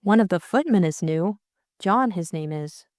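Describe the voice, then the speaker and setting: A woman's voice: lowish voice, lively intonation, moderate pace, darkish tone, no room sound, clean studio sound, natural voice. A woman voicing a spirited, sarcastic young society woman in an audiobook. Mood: matter-of-fact. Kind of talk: audiobook character dialogue.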